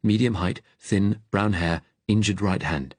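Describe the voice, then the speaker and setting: A man voicing a kindly, weary vicar in an audiobook, gentle but direct and firm. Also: lowish voice, moderate pace, slightly rough, no room sound. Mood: crisp, brisk. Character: kindly, weary male vicar, calm and firmly commanding.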